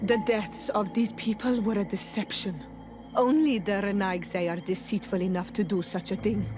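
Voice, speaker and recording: Woman doing a blunt proud warrior lady in a game, stern, medium pitch, music behind. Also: steady tone, normal speed, natural voice. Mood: indignant, stern. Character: proud, blunt female native islander warrior. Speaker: game character voice actor. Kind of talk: dramatic video game acting.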